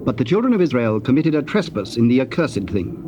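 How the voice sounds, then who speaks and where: A man's voice: mid-range voice, very animated, brisk. A man narrating dramatized scripture in a solemn, formal storytelling manner. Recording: dull, noisy old cassette tape.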